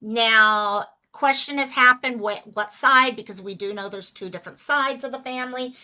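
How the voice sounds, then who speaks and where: Bright-voiced woman chatting on a livestream. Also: on the high side, normal speed. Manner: chatty, greets viewers by name. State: losing her voice. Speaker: livestream host. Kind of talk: casual livestream chat.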